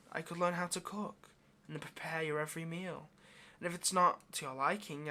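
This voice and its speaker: Man voicing a devoted demon, bright, higher-pitched voice. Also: gravelly. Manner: hesitant, tender, obsessively devoted, addressing listener directly. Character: devoted yandere male demon, lonely, clingy, tender, menacing. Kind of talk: intimate ASMR audio roleplay.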